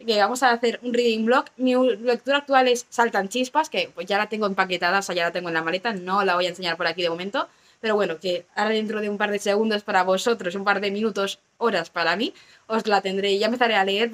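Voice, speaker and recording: A hoarse young woman chatting casually to camera as a book vlogger, recorded at home on a phone or camera held close. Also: mid-range voice, steady tone, fairly bright tone. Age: young adult. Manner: chatty, quick asides and fillers. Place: small rooms at home and an outdoor terrace.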